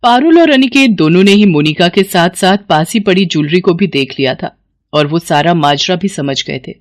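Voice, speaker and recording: A woman's voice: on the low side, lively intonation, normal speed, no room sound, studio quality. A woman reading scripted moral-story narration as the storyteller.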